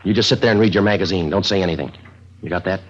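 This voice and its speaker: An actor playing a terse, businesslike police detective in 1950s American radio crime drama, giving flat, matter-of-fact orders. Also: male voice, sits low, rapid-fire. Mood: impatient, curt. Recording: muffled, hissy 1950s radio recording.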